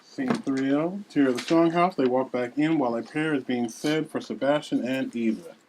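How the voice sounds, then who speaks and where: A man's voice: mid-range voice, moderate pace, noisy background. A man narrating scene headings and stage directions at a script table read.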